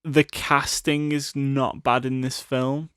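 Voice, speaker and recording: A man's voice: medium pitch, level tone, slow, fairly bright tone, clear, resonant tone, no room sound, clean studio sound. A man co-hosting a podcast in casual, bantering chat about films. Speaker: podcast co-host. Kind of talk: casual film chat with a co-host.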